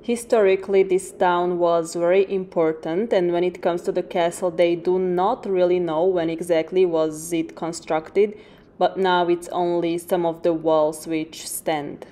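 A young woman from Slovakia, a native Slovak speaker, calmly explaining sights in a travel vlog, filmed on a phone at arm's length. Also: lowish voice, unhurried, slight background noise. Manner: calm, explanatory, turns soft and reflective. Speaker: vlogger. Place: apartment rooms and outdoor town overlook. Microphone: phone camera at arm's length.

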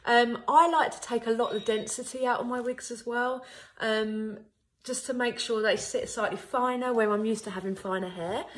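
A woman's voice: a little high, steady tone, normal speed. A woman in her thirties, a wig salon owner, chatting casually and upbeat to a phone camera in her salon. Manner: chatty and upbeat, frequent ums. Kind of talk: casual how-to video. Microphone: phone camera at arm's length.

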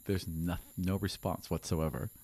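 A man's voice: deep voice, steady tone, normal speed, a little mellow, breathy edge. A man in his thirties improvising narration as game master, close on a podcast microphone in a small room. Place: small studio room, indoors. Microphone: close podcast microphone on a stand.

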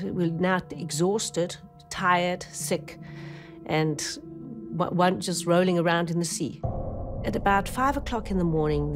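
Woman, urgent halting retelling. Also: lowish voice. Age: middle-aged. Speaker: shipwreck survivor interviewee. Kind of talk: documentary testimony. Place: dark indoor interview studio.

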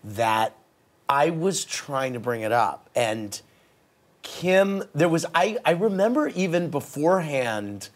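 A man in his forties, a talk show host, chatting candidly in a television studio with quick restarts. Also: fairly high pitch, sing-song. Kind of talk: casual interview chat. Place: TV studio set.

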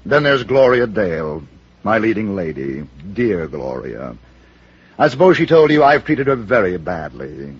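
An actor playing an arrogant showbiz star, pompous and haughty with affected politeness, in old-time radio drama style. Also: male voice, lowish voice, very animated, unhurried, slightly rough, dry recording, heavily acted. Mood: smug, wry. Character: pompous, arrogant male comedy star boss. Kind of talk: 1950s radio drama acting. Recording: dull old radio recording.

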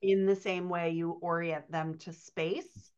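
A middle-aged woman coaches in a casual, conversational podcast style. Her voice sits a little low, and the video-call recording sounds slightly dull. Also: little pitch movement, slow, a little mellow, clean tone. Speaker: dialogue coach, podcast guest host. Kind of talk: casual explanatory podcast chat.

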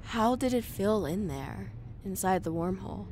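A woman's voice: mid-range voice, lively intonation, moderate pace, darkish tone, a little hiss or hum, close to an everyday voice. A woman playing a curious, amazed listener to a space tale in a science fiction audio drama.

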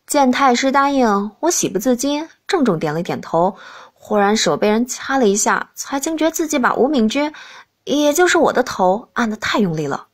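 A woman's voice: on the high side, big pitch swings, moderate pace. A woman reading a novel aloud in the first person as scripted narration. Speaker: audiobook narrator.

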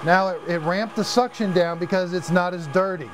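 A man's voice: high voice, moderate pace, noisy background. A man in his fifties, a product reviewer demonstrating cleaning gear on a live stream, chatty and enthusiastic, repeating words as he goes, and losing his voice. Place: home studio room with shelves of vacuums.

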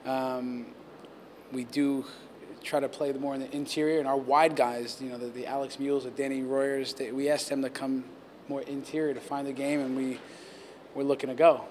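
A man's voice: medium pitch, little pitch movement, darkish tone, slight background noise. Earnest man coach at press mics. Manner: measured, earnest, many restarts and fillers. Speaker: head coach. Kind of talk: post-game press interview. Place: press backdrop area, indoors. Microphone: handheld reporter mics held close.

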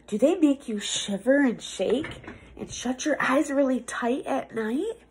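A woman's voice: high-pitched, slight background noise, rough recording. A young woman reading a picture book aloud to children like a teacher, theatrical and playful, drawing words out for effect. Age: young adult. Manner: theatrical, expressive, playful, with drawn-out words and hushed asides. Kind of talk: animated storybook read-aloud for children. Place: small office room indoors.

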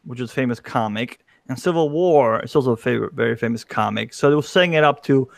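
A man's voice: mid-range voice, fast, vocal fry, no room sound, studio quality. A middle-aged man co-hosting a casual movie-ranking chat. He gives firm opinions and steers the talk from one pick to the next. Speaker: co-host. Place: room at home with screen panels. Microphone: large studio mic with headphones.

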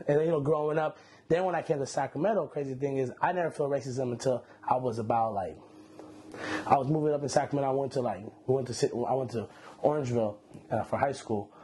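A man's voice: mid-range voice, quick pace, breathy edge. Laid-back young man, slangy storytelling. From Iran. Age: 20s. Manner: relaxed slangy storytelling, many fillers. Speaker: interview guest. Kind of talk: casual interview. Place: indoor room, seated on a couch. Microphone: lapel mic.

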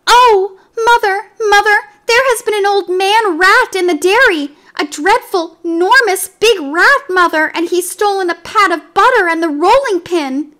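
A woman's voice: extremely high pitch, a little slow, few pauses, pushed and bright, pitched above the performer's own voice, exaggerated voice. A woman voicing a frightened little girl kitten who cries out breathlessly in panic. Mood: panicked.

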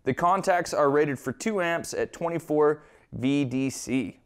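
A man's voice: a little high, measured pace, clean tone. A man presenting a hands-on equipment tutorial, explaining step by step in an informal, conversational way.

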